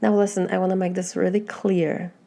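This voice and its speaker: A woman from Poland with a Polish accent, speaking as a life coach in a motivational talk and asking slow, earnest, reflective questions. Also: lowish voice, little pitch movement, vocal fry, dark tone.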